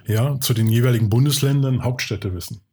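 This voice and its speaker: A man from Cologne co-hosting a podcast, relaxed and giving short agreeing replies. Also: mid-range voice, brisk, slightly rough. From Cologne, Germany. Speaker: podcast co-host. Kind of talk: casual podcast chat.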